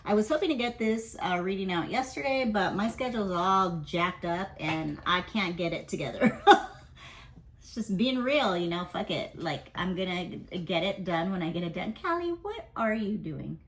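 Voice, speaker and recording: A woman's voice: on the low side, lively intonation, moderate pace. A woman giving a casual weekly tarot and astrology reading. She speaks candidly, rambles, leans on fillers and swears offhandedly.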